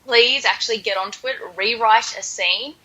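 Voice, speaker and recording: A woman's voice: on the high side, normal speed, sharp tone, rough recording. A woman co-hosting a podcast in casual comedic chat, with a playful, mock-dramatic sarcasm. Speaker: podcast co-host.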